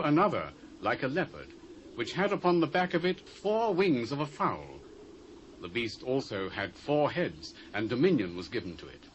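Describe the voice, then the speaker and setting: Earnest visionary prophet, British accent, mid pitch, highly varied intonation, emphatic Bible drama, faint tape hiss. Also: male voice, poor audio. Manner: dramatic, emphatic, measured declamation. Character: devout male prophet, awestruck and earnest visionary. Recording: old cassette with faint hiss.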